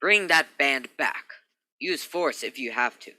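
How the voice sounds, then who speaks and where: A man's voice: medium pitch, lively intonation, unhurried, bright, forceful tone, no echo. A man acting a stern, logical spaceship captain in a radio play, commanding and insistent. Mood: angry, commanding. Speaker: actor in a student radio play.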